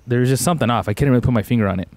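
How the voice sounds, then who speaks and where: A man's voice: medium pitch, very fast, a little mellow, no room sound. A young man from Tucson, co-hosting a podcast and telling a rambling, laid-back story, often saying "bro". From Tucson, Arizona. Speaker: podcast co-host. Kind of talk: casual storytelling chat.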